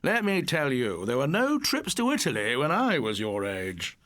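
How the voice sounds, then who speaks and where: A man's voice: medium pitch, wildly swooping pitch, moderate pace, fairly bright tone, no room sound, studio quality. A man voicing a cross, reproachful middle-aged parent scolding a child in an audiobook. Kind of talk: audiobook character voice.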